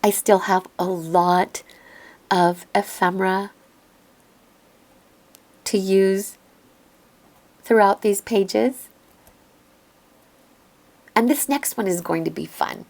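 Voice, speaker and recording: A woman explaining a craft project step by step in a calm voice-over, her voice slightly hoarse and raspy from a lingering cough. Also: medium pitch, takes it slowly, pauses often. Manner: gentle step-by-step explaining, thinks aloud. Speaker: crafting tutorial host. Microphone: voice-over recorded apart from the video.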